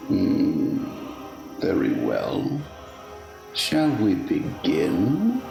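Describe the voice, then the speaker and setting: A mocking, grandiose fae king in a radio play, speaking very slowly in a gravelly voice with theatrical swings. He is sly, menacing and pompous, relishing his formal phrasing. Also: deep voice, wildly swooping pitch, roomy sound, over music, full character voice. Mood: haughty, challenging. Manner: pompous, mannered, deliberate.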